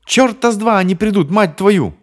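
A man's voice: high voice, lively intonation, moderate pace, pitched above the performer's own voice. A man voicing a hysterical young woman who screams and swears in panic. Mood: hysterical screaming.

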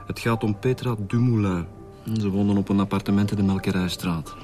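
An actor playing an inquisitive, matter-of-fact police detective in a Flemish radio drama, with a Flemish accent. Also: male voice, lowish voice, steady tone, brisk, dark tone, soft background music, natural voice.

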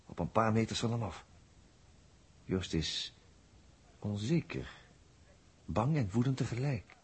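A man's voice: lowish voice, expressive, measured pace, heavily acted. An actor playing a watchful, knowing male observer in a Dutch 1960s radio drama. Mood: hushed, intent. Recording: rough 1960s radio recording.

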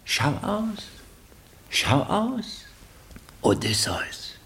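A mysterious old seafarer in a turban, from a 1950s radio play. The voice sits high for a man and is hushed and urgent, coaxing like someone sharing a secret. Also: huge pitch swings, drawn-out words, a little hiss or hum, full character voice. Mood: hushed exhorting. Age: older. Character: furtive old turbaned sorcerer-sailor, giggling and urging. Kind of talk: 1950s German radio drama acting.

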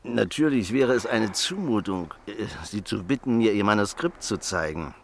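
A pushy jovial neighbor. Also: male voice, fairly low pitch, lively intonation, moderate pace, slight background noise. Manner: insistent, overbearing, chuckling. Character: pushy jovial American neighbor, fifties, stocky. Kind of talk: radio play acting.

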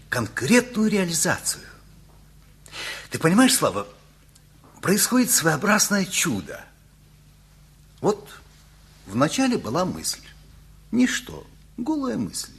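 An actor playing an earnest middle-aged engineer in a radio comedy, musing effusively in an emphatic, rambling way. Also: male voice, high voice, huge pitch swings, slow pace, pauses often, fairly bright tone, slightly rough, full character voice. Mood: dreamy, enthusiastic. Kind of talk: Russian radio comedy play acting.

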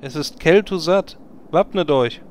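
A man's voice: a little high, a little slow, a little hiss or hum, natural voice. A man playing a bossy, grumbling leader of fantasy heroes in a parody audio drama, blunt, sarcastic and commanding. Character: bossy, grumbling male leader of a hero party.

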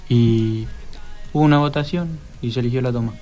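A man's voice: medium pitch, fairly quick, warm tone, faint music behind. A teenage male student, answering casually as a radio guest.

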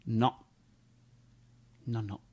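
A male actor playing an anxious, self-doubting man in a halting theatrical radio monologue, with a husky, breathy voice that moves between whispers and outbursts. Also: on the low side, level tone, heavily acted. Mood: bitter, resigned. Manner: halting, stammering, repeating words. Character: anxious, self-doubting man confessing his own limits.

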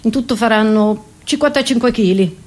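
A woman comedian performing a theatrical comic monologue in an animated manner, as if talking to a child. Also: fairly high pitch, moderate pace. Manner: animated, addressing an imaginary child.

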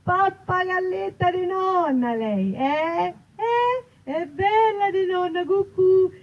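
A woman voicing a doting, fussing Roman grandmother with drawn-out baby talk, in a live reading. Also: extremely high pitch, expressive, pitched above the performer's own voice, full character voice. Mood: cooing. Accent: Roman Italian. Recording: muffled live recording with noise.

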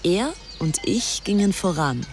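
A woman's voice: on the low side, very animated, unhurried, music in the background. An actress playing a young, fanatical and defiant Englishwoman reading her diary in a radio play. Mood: dreamy.

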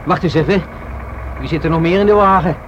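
Actor as higher-voiced, rueful young man. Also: a little fast, a bit bright, lo-fi sound, lightly acted. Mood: wary. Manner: hesitant, colloquial, self-reproaching. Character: rueful, wary young man confiding in police. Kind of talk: radio drama acting. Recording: noisy old radio play recording.

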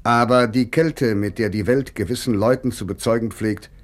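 An actor playing a weary, lonely man of letters, reading his letters aloud for radio in a measured, earnest literary delivery. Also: mid-range voice, fairly even intonation, natural voice. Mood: resigned.

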